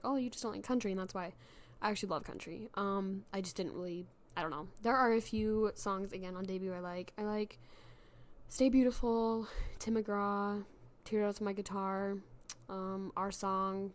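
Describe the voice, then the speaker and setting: Chatty young woman with a sick, worn voice. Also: medium pitch. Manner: chatty, self-deprecating, many fillers and restarts. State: sick, losing her voice. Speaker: podcast host. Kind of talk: casual solo podcast chat.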